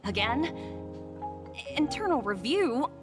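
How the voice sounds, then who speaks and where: A woman's voice: high-pitched, big pitch swings, slow pace, full character voice. A woman voicing an earnest, formal young businesswoman in a video game, with polite and precise diction. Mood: exasperated. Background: prominent music.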